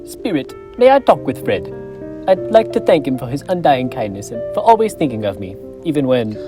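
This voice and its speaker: A man's voice: medium pitch, very animated, a little fast, lightly acted. A young man playing an old miser in a school stage play, talking earnestly and imploring, with music behind. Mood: pleading. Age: teens. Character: old male miser Scrooge, fearful and pleading. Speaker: stage actor.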